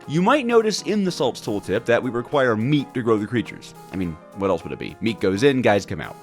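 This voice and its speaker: A man narrating gameplay from a script in a theatrical, playful and wry manner. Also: on the low side. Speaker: gaming video host.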